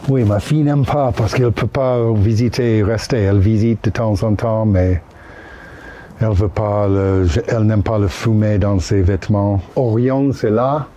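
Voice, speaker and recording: Low-voiced older American man. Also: slight background noise. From Utah, United States. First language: English. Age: 60s. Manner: relaxed, halting French with English words slipped in. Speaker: interviewee. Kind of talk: casual chat. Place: outdoors under a city bridge.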